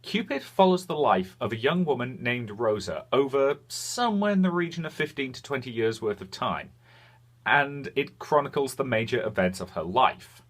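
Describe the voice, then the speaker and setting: A man hosting a video game review, speaking frankly in semi-scripted commentary with deliberate pauses for emphasis. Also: mid-range voice.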